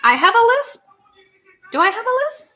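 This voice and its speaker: A woman's voice: very high voice, sing-song, normal speed, sharp tone. A woman co-hosting a live stream, chatting casually and rambling as she reads viewer comments. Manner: chatty and rambling, spelling words out. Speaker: co-host of a live watch party stream.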